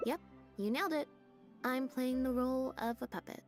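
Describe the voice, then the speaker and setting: An actress voicing a deadpan, understated young female magician's assistant with robot-like habits in a video game. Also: sits high, moderate pace, lightly acted. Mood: flat, mildly weary. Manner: deadpan, understated, matter-of-fact. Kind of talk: video game character dialogue. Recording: game audio with music behind. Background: background music.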